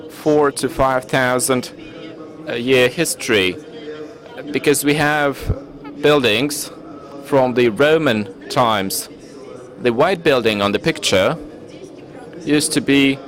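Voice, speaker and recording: Turkish-native guy doing a panel talk, slow and careful, mid-pitched voice. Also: a bit bright. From Turkey. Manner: measured, formal, searches for words. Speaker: panel speaker presenting his city. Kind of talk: conference presentation. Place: conference hall.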